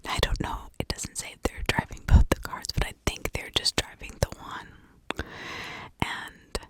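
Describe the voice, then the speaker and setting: Whispering American woman, ASMR storyteller. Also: rough recording. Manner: hushed, informal, conversational asides. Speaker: ASMR true crime storyteller. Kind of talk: whispered ASMR true crime narration.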